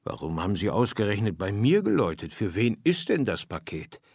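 A man's voice: on the low side, very animated, fairly quick, creaky, a little mellow, breathy edge, no room sound, clean studio sound, heavily acted. A man voicing a lonely, plain-spoken man from an apartment house in a storybook reading, with a questioning manner. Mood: puzzled, resigned.

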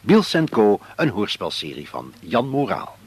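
A male announcer reads a scripted introduction. His voice is very deep, with lively rises and falls in intonation. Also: unhurried, music in the background.

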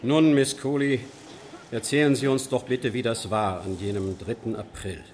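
An actor playing a stern judge delivering a verdict in formal, measured tones in a radio play. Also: male voice, medium pitch, fairly even intonation, a little mellow, slight background noise, close to an everyday voice.

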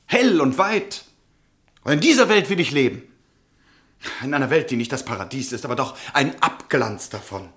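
An actor playing a restless aristocratic count in a German radio drama, speaking in a declamatory, impassioned way. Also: male voice, mid-range voice, animated, normal speed, fairly bright tone, close to an everyday voice. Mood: impassioned, exalted. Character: restless aristocratic count breaking with his wife.

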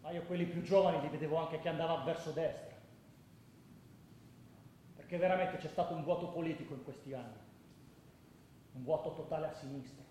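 A man plays a combative, principled male football fan in a radio drama. He speaks high for a man, blunt and emphatic, in a very reverberant space with faint noise. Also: fairly even intonation, brisk, pauses often, warm tone, natural voice. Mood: bitter. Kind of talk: dramatised first-person monologue, radio play. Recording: very echoey room with background noise.

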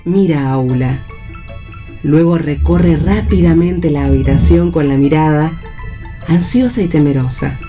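Argentine lady narrating a radio play, really slow and soft-toned, measured, bit of music behind. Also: low voice. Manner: measured, descriptive. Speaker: stage-direction narrator.

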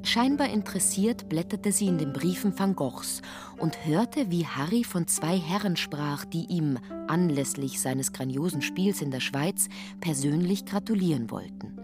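A woman's voice: lowish voice, expressive, a little slow. A woman narrating a literary radio play, telling the story in a wry, matter-of-fact way. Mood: wry narration. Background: guitar music under the voice.

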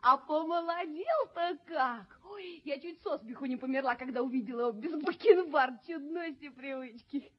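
An actress playing a gossipy, easily amazed housemaid in a radio play, with a gushing, folksy way of talking. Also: female voice, high voice, expressive, normal speed, hardly any pauses. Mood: amazed, amused. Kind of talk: Soviet radio play acting. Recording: dull 1970s radio drama recording.

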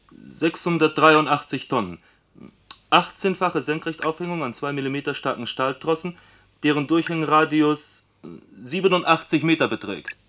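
A man's voice: on the high side, sharp tone. An actor playing a construction engineer in a German science fiction radio play, matter-of-fact and technical in his delivery.

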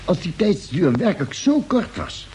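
An actor playing an excitable, skeptical spaceship crewman in a vintage radio drama, exclaiming and reasoning quickly. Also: sits high. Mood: arguing. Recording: noisy vintage radio recording.

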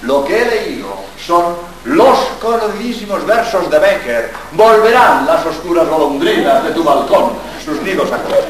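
An older Jesuit priest from Spain gives a public lecture. He jokes and fires rhetorical questions at the room in a fairly high voice. The recording is distant and heavily echoey, like a large hall. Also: moderate pace, slight background noise. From Spain, born in Barcelona. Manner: lively, joking, full of rhetorical questions. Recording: very echoey hall, mic far off.

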